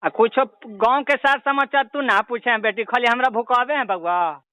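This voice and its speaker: An ailing old villager from a comic prank call, with an extremely high, clear voice and a Bihari accent. The old villager whines and pleads, rambling on to a daughter in a dry, close sound. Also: male voice, normal speed, bright, forceful tone, no echo. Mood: wheedling. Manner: pleading, rambling, repeatedly addressing the listener as daughter. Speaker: prank caller.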